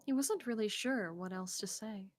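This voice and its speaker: A woman's voice: mid-range voice, moderate pace, soft background music. A woman streamer reading a visual novel's narration aloud, with casual asides. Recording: faint background noise.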